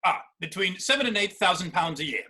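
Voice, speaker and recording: A man playing a polite young Victorian gentleman suitor in a theatrical comedy reading, well-spoken and deferential. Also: sits high, expressive, fairly quick, breathy edge, full character voice. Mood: earnest, deferential. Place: virtual performance from home in isolation.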